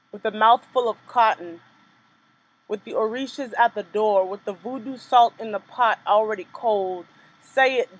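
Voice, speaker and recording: A young woman performs her own poetry in a rhythmic, measured spoken word style. Her voice sits somewhat high, and between poems she drops into loose, casual remarks. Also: low-quality recording. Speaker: poet performing her work.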